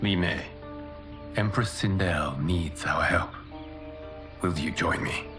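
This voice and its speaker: An actor playing a wise, noble protector of a realm in a video game, with a measured, grave, formal way of speaking. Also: male voice, low-pitched. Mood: hushed, grave. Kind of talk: dramatic video game acting. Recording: music behind.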